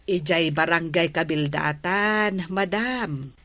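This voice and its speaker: A woman's voice: lowish voice, slow, a bit bright, no echo. A woman playing a village captain's wife in a radio comedy drama, speaking politely and earnestly.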